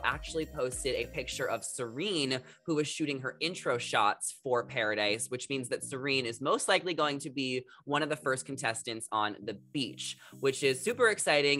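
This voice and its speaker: A man's voice: medium pitch, pushed and bright. A young man in his twenties chatting to camera as a gossip vlogger, upbeat and full of "like" and "um", on a desk mic. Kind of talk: casual chat to camera.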